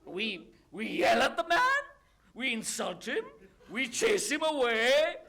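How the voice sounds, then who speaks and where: Shrill, blustering hot-tempered father. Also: sing-song, slow, creaky, sharp tone, heavily acted. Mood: exasperated. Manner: ranting, exasperated, melodramatic. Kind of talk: stage farce read as audio drama.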